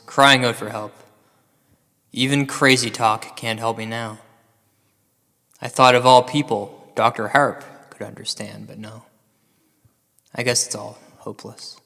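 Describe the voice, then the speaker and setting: Guy doing a nervous paranormal investigator, earnest and hesitant, regular pitch, radio comedy, lots of reverb. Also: level tone, a little slow, vocal fry, pushed and bright. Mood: bitter, hopeless. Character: anxious, self-doubting male paranormal investigator, earnest and eccentric. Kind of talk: comedy radio drama acting.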